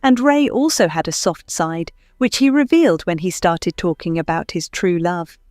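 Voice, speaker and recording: A woman's voice: on the low side, normal speed, a little mellow, dry recording. Woman narrating a children's storybook, dry sound. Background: faint music.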